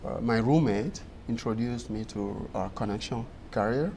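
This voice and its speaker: A man in his thirties from Guinea, a native French speaker, answering interview questions in an earnest, measured way. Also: mid-range voice, warm tone, slight background noise. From Guinea, West Africa. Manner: earnest, measured, laughs easily. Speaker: guest.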